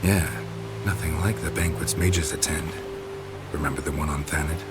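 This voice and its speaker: An actor playing a gruff, stoic middle-aged male monster hunter in a video game cutscene, speaking in short, low-key lines. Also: fairly even intonation, normal speed, loud music behind the voice. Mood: terse. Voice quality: very deep, low-key, terse. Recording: score playing underneath.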